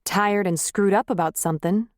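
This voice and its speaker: A middle-aged husband, clumsy and pleading, as a woman reads him, gruff one moment and coaxing the next. The voice sits low and plain. The sound is close and dry. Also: moderate pace, no room sound, natural voice. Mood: accusing. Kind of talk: audiobook character reading.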